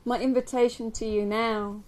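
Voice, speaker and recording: A woman from England leading a guided yoga nidra meditation for a group, speaking gently and slowly with long pauses. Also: on the high side. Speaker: yoga nidra teacher guiding a relaxation. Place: live group session at a camp. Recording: steady ambient noise, live recording.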